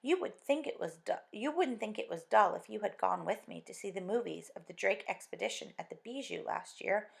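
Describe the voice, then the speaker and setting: Eager dreamy husband gushing about penguins, lively up and down, woman reading. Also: mid-range voice, brisk, gravelly, few pauses. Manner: enthusiastic, earnest.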